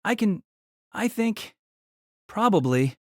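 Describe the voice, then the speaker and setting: A man's voice: high-pitched, big pitch swings, dry recording, clean studio sound, voice pushed up, heavily acted. A man voicing an irritable, unsure young male apprentice mage in an audio drama, speaking hesitantly. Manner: hesitant, halting.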